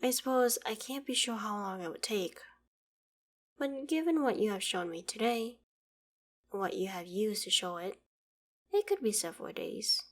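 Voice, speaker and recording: A woman playing an ancient female dragon in an ASMR roleplay, proud and curious, speaking in a measured, formal, archaic way. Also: medium pitch, close to an everyday voice. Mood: thoughtful, courteous. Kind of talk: ASMR roleplay audio drama, addressing the listener.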